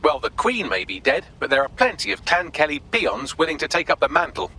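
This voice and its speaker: Fast, witty British AI assistant. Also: male voice, a little high, expressive, gravelly, sharp tone, breathy edge, exaggerated voice. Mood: brisk, wry. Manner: quippy, polite, sardonic. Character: witty, dry male AI assistant. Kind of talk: video game cutscene acting.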